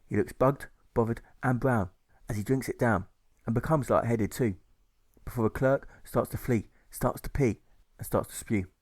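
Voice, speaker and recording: A man narrates a rhyming audiobook in a mid-pitched voice. His delivery is rhythmic, stressing rhymes and repeated sounds, with a very dry recording. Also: normal speed, no echo. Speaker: author reading his own audiobook.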